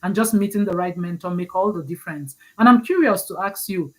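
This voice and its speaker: A woman's voice: lowish voice, brisk, rough recording. A woman from Nigeria, hosting a livestream interview over a video call and reading out audience comments. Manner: encouraging, repeats words while gathering thoughts. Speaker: host.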